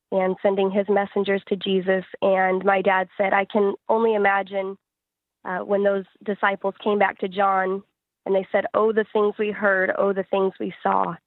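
A woman's voice: mid-range voice, no echo, phone-quality sound. A woman singer-songwriter answering interview questions in an earnest, reflective, conversational way.

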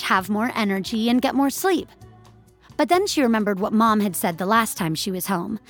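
A woman narrating scripted audio fiction in the third person. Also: fairly high pitch.

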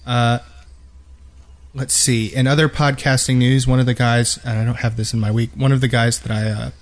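A man with a mid-pitched voice hosts a casual gaming podcast. He rambles in a self-deprecating way, speaking close to a boom-mounted microphone in his home studio. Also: little pitch movement, moderate pace. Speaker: podcast host. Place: home studio with unpadded walls. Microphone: boom-mounted microphone close in front of mouth.

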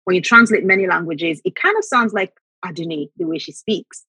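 A middle-aged woman from Nigeria whose first language is Yoruba, telling reflective stories about her writing and repeating words when she gets excited. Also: lowish voice, a little fast, slightly rough, dry recording. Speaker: author guest. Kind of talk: conversational interview.

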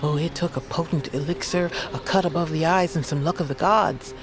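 An actress playing an old healer witch and grandmother in a fantasy audio drama, wry and wise, speaking in measured, cryptic storytelling phrases. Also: low-pitched, natural voice. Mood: firm, dignified. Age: older. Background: music behind the voice.

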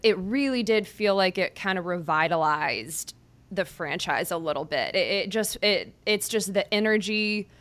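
A woman's voice: fairly low pitch, expressive, moderate pace, fairly bright tone, no room sound. A woman beat reporter hosting a podcast, asking conversational questions with some restarts. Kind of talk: podcast interview.

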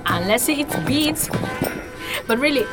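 A woman's voice: high voice, animated, unhurried. A woman playing a quirky young human sidekick in a fantasy audio drama, chatty, playful and slangy. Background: loud music.

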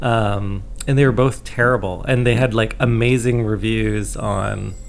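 A man's voice: lowish voice, fairly even intonation, a little slow, slight background noise. A man, co-hosting a casual chat over coffee. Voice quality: raspy.

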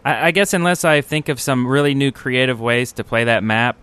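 A man's voice: medium pitch, moderate pace, a bit bright, clean tone, no echo. A man co-hosting a podcast, chatting informally and a bit rambling about video games. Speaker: podcast co-host. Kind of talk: casual gaming podcast chat.

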